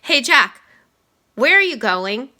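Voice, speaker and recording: Scornful storybook schoolboy, played by a woman, bright voice, dismissive drawl on key words. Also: high voice, big pitch swings, slow, full character voice. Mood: smug.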